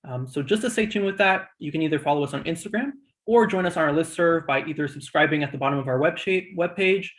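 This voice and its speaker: A man hosts an online session with informal announcements. His voice is a little higher than most men's, and he talks at a quick clip. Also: a bit bright. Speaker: host of a virtual student shadowing session.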